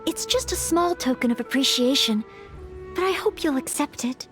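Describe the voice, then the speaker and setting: A woman voicing an earnest, modest young maid who hopes to be a knight, polite and gentle, in a video game. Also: sits high, lively intonation, normal speed. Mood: grateful. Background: game music.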